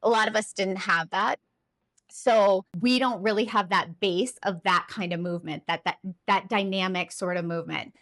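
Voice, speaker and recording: A woman's voice: mid-range voice, steady tone, moderate pace, fairly bright tone. Earnest woman in her fifties. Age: 50s. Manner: earnest, encouraging, self-deprecating. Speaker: climbing vlogger. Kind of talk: casual talk to camera.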